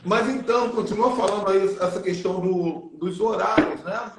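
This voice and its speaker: A man from Rio de Janeiro with a Carioca accent, chatting on a live video call. He has a high voice and a loose, joking manner. The sound bounces around an echoey room. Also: moderate pace, noisy background. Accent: Carioca Brazilian Portuguese. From Rio de Janeiro, Brazil. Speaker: apostle, guest.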